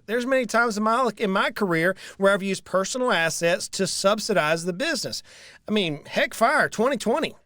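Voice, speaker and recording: Guy in his 30s hosting a money show, kinda high voice, close studio mic, real dry. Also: fairly bright tone, no echo. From Southern United States. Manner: earnest, emphatic, repeats phrases for stress. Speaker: financial advisor hosting a show. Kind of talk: solo talk to camera. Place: small room with dark curtains. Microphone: large studio mic in front, headphones.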